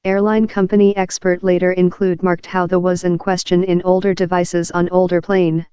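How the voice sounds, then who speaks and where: Article narrator, scripted read, middle pitch, flat intonation, exceptionally clean tone, impersonal delivery. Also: moderate pace, clear, resonant tone, no echo, lo-fi sound. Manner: reading aloud, impersonal and uninflected.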